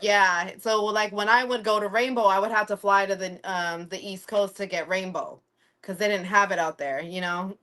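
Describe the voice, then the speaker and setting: A woman's voice: medium pitch, fairly even intonation, normal speed, pushed and bright. A woman born in Puerto Rico and raised in Los Angeles, chatting easily on a podcast. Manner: easygoing, many fillers and laughs. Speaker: podcast guest. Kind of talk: casual podcast chat.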